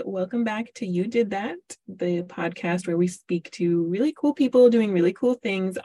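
Woman podcast host, chatty and laughing, raspy with some fry in a lowish voice; dry close-mic sound. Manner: warm chatty, laughs often, many fillers. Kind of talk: casual interview.

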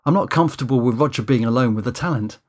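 A man's voice: mid-range voice, fast talker, natural voice. A man voicing a flustered, anxious man running a film shoot in an audiobook, hesitant, stammering and defensive. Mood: anxious, spiralling.

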